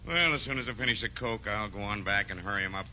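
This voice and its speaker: An actor playing a brisk, no-nonsense off-duty policeman in an old-time radio sitcom. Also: lowish voice, pushed and bright. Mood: easygoing. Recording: dull, noisy old radio recording.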